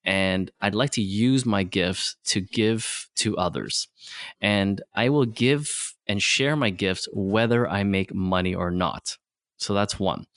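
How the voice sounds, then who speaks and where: Podcast guest, middle-aged guy, lowish voice, dry close sound, vietnamese first language, says "right?" a lot. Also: slow, fairly bright tone, no room sound. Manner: plain simple wording, frequent restarts and "right?" tags. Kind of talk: casual conversation.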